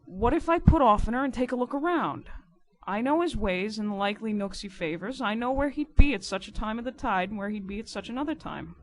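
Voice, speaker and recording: A woman voicing a rough, sly, boastful working-class riverman informer in an audiobook, with insistent colloquial speech. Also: a little high, lively intonation, brisk, natural voice, rough recording. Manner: colloquial, insistent, nonstandard grammar. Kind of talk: dramatic audiobook character reading.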